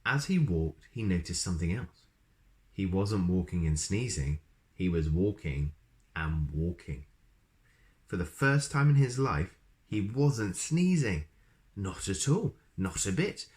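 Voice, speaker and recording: A man's voice: low voice, big pitch swings, measured pace, a little mellow. A man reading a children's story aloud expressively, with chatty asides to his listeners.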